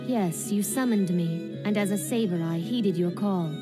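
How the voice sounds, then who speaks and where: A woman's voice: medium pitch, a little slow, lightly acted. Female anime dub lead, acted: young knight spirit servant, stately and steadfast, solemn diction, heavy music bed. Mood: solemn, loyal. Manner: formal, composed, solemn diction. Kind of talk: anime English dub acting. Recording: loud dramatic music behind.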